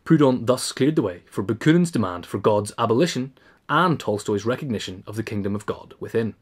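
A man reads a written essay as an audiobook narrator. His voice is on the low side, and he keeps up a fast pace with formal delivery. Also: lively intonation, breathy edge. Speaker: audiobook reader.

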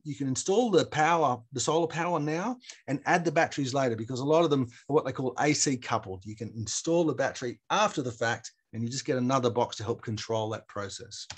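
A middle-aged man presents a webinar at a brisk clip. His voice sits at a slightly raised pitch, and he explains in a plain-spoken, conversational way. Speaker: presenter from an energy foundation.

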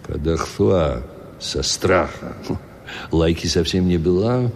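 Bitter russian ex dog keeper, really low slow voice, mocking, radio play. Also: male voice, expressive, a little mellow, a little hiss or hum, exaggerated voice. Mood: bleak, bitter. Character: bitter, taunting old Soviet space-dog keeper. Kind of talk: radio drama acting, mixing Russian and Polish.

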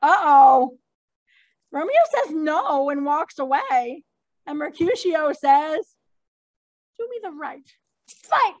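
A woman teacher leading a class read-through of a play, prompting readers with animated, informal asides and reading stage directions. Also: high-pitched, slow pace, long pauses, no echo.

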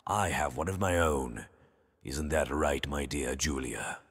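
An actor playing a smug, ruthless world dictator in an audio drama, mock-polite and theatrical. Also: male voice, extremely low pitch, unhurried, husky, exaggerated voice. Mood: coldly menacing. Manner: mock-polite, theatrical, switching from exasperated to coldly quiet. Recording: long reverberant hall.

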